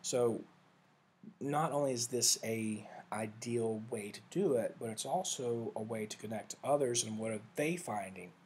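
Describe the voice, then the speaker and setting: A man giving a casual, rambling screen-share walkthrough of study software, often correcting himself mid-sentence. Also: medium pitch, normal speed, slight background noise. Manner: rambling, self-correcting, conversational. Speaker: vlogger reviewing software.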